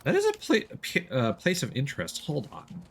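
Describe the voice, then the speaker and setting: A man doing casual live gaming commentary and chatting with viewers, excitable and quick to exclaim when surprised. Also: fairly high pitch, creaky, slightly rough. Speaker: video game streamer.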